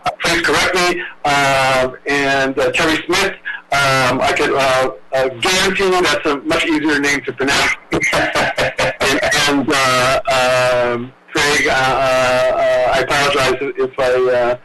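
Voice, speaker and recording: A man from Brooklyn, New York, chairing a public meeting over a video call, speaking haltingly with many fillers. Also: mid-range voice, fairly even intonation, very noisy. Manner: halting, many filler words, effusively thankful. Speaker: commission chair.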